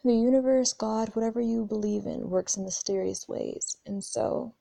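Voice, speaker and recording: Gravelly-voiced young woman musing aloud. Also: a little high, normal speed, mellow, no room sound, rough recording. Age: 20s. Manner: rambling, candid, swears freely, frequent restarts. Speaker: spiritual vlogger. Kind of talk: casual reflective monologue.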